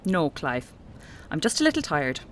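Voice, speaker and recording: A woman playing a dedicated archeology professor in an audio horror drama, speaking in an articulate, measured, scholarly manner. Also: low-pitched, very animated. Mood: reassuring, brisk. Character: dedicated female archeology professor, affectionately commanding, increasingly entranced. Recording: music bed behind the voice.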